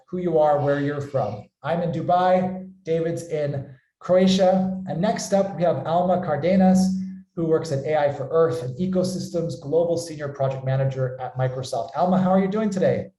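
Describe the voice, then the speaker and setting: American man, slightly high mellow voice, upbeat webinar host, roomy echo. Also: normal speed, hardly any pauses. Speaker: company founder hosting a webinar. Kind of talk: webinar introduction, presenting to an online audience. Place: indoor room, online webinar call. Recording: roomy, echoey sound.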